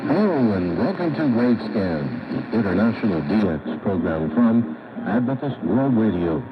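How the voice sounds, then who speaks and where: A man hosting a radio program, delivering a scripted announcer-style introduction. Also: on the low side. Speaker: program host. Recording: tinny shortwave radio reception.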